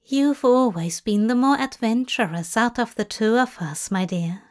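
Gentle, careful male angel, read by a woman, soft and mild, slightly high. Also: unhurried, lightly acted. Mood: tender.

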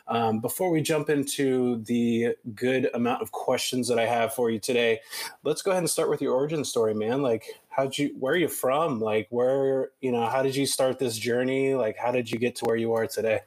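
A man hosting a podcast interview in a casual, enthusiastic way. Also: mid-range voice. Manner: informal, enthusiastic, complimentary. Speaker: podcast host.

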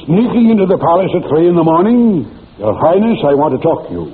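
A man's voice: fairly high pitch, sing-song, fast talker. An actor playing a pompous, sputtering male prime minister, indignant and easily flustered, in an old radio comedy. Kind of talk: 1940s radio comedy acting. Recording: tinny noisy old radio recording.

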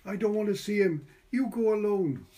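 Man voicing a high, insistent servant girl. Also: normal speed, warm tone. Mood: agitated. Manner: calling and scolding, insistent. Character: bossy, anxious young servant girl.

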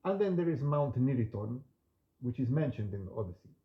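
A man hosting a relaxed podcast, talking in a gentle, unhurried and reflective way, with soft trailing endings and some Greek words read with a learner's accent. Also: medium pitch, highs cut off. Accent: non-native Greek pronunciation when reading. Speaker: podcast host. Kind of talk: relaxed spoken monologue with poetry reading.

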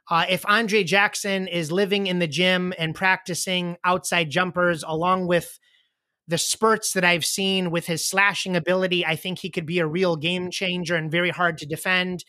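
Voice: medium pitch, dry recording. A host in their late forties running a casual panel chat about basketball picks and handing out questions. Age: 40s. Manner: relaxed, leads the questions. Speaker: podcast host.

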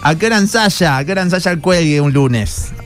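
Man, slightly high voice, chatty radio host, colloquial, faint music behind. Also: lively intonation, fairly quick. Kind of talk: casual radio interview.

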